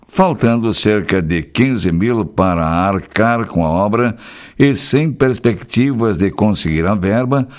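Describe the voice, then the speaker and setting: A man with a somewhat low voice reads regional news on the radio. He breaks into casual, folksy remarks between stories. His delivery mixes read copy with easygoing chat. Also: expressive, takes it slowly. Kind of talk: reading local news with casual asides.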